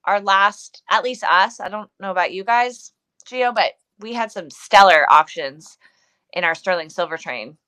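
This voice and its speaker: A woman's voice: medium pitch, measured pace, fairly bright tone, clear, resonant tone. A middle-aged woman co-hosting a casual, unscripted livestream, chatty and jokey, often repeating her phrases. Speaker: co-host of a vintage seller livestream.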